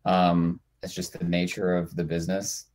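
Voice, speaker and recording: A man with a distinctly low-pitched voice. He talks as a writer in a panel discussion, in a casual, anecdotal style drawn from personal experience. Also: completely flat delivery, normal speed, a little mellow.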